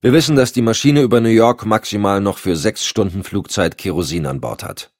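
A man's voice: fairly low pitch, brisk, dry recording, clean studio sound, pitched above the performer's own voice. A man voicing a curt, sardonic, commanding agency chief with clipped formal speech in an audiobook. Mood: cool, controlled. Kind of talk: audiobook dialogue.

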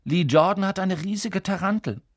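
A man's voice: high voice, normal speed, no room sound, clean studio sound, voice pushed up. A man doing cheeky teasing twin boys. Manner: loud, teasing. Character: cheeky teenage twin brothers, jokers.

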